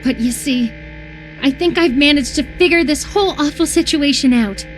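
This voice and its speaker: A woman playing an obsessive, sweetly menacing young female captor in a dramatic horror visual novel, coaxing with a sharp edge. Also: sits high, normal speed, soft background music, lightly acted. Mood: menacing, soothing.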